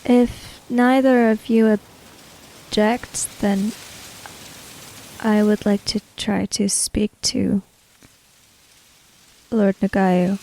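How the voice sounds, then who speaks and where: A woman voicing a composed, formal samurai in a tabletop game, measured, logical and direct. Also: medium pitch, level tone, mellow, rough recording. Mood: calm, probing.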